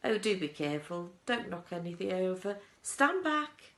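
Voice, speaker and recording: Woman voicing an excitable, bossy storybook chocolate inventor. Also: lowish voice. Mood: scolding, urgent. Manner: exclamatory, hurried, boastful, scolding. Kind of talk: children's storybook character reading. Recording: roomy, echoing indoor sound.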